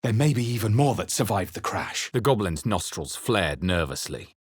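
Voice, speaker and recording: A low, husky male narrator telling his own dark fantasy tale. His delivery is solemn and deliberate, like a literary game narration from a brooding adventurer. The recording is close and dry. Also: sing-song, dry recording. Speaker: video game narrator in first person. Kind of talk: dramatic scripted fantasy narration.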